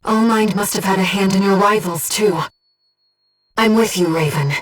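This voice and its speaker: A gentle disembodied female being, played by a woman serves as a devoted mission guide in a video game. Her medium-pitched voice is composed and earnest, with precise phrasing, and sits a little away from the mic. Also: normal speed, recorded from a distance, close to an everyday voice.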